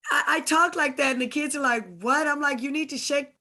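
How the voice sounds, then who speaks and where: A woman's voice: high-pitched, fairly even intonation, fairly quick, bright, forceful tone. A woman from Corpus Christi, Texas, a teacher chatting in a class discussion and telling stories that act out the people in them. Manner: chatty, tells anecdotes acting out others. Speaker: teacher in training.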